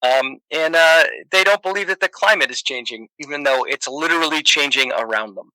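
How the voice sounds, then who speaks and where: A man chats loosely on a podcast call, joking and laughing between thoughts and dropping plenty of fillers. His voice is bright and forward. The sound is dry and close to the mic. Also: on the high side, a little fast, no echo, low-quality recording. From Massachusetts, USA. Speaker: podcast guest. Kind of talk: casual chat.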